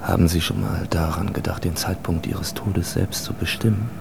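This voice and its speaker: An actor playing a calmly menacing, philosophising stranger in a radio drama, deliberate, probing and insistent. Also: male voice, deep voice, creaky, background noise. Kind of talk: German radio drama acting.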